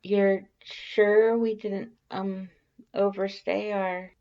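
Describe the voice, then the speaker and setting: A narrator voicing a timid, awkward female monster scientist with a nervous, halting stammer in a story reading. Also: mid-range voice, flat delivery, a little mellow, highs cut off, voice pushed up. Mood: nervous, hesitant.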